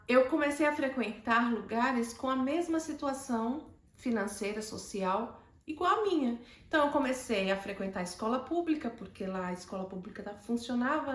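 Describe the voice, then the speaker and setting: A woman's voice: on the high side, a bit bright. Hesitant Northeastern Brazilian woman, echoey. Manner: hesitant, many fillers and restarts. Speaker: host. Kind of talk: personal storytelling to camera.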